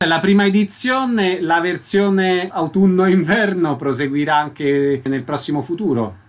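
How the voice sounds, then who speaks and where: A man hosting radio interviews, putting short prepared questions and introductions in a measured presenter's manner. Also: fairly high pitch.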